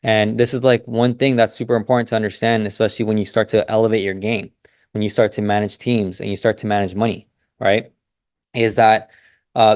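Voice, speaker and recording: A man's voice: fairly low pitch, a little mellow, no echo. Rambling man, dry close sound. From United States. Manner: loose and rambling, many fillers and restarts. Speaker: business consultant host. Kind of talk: casual monologue.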